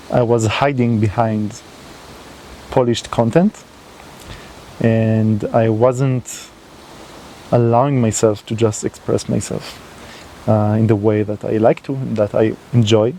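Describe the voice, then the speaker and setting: Slow, hesitant man with a mellow voice. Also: lowish voice, steady tone, slight background noise, poor audio. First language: not English. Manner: slow, hesitant, with many fillers and restarts. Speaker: channel host. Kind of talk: unscripted solo talk to camera.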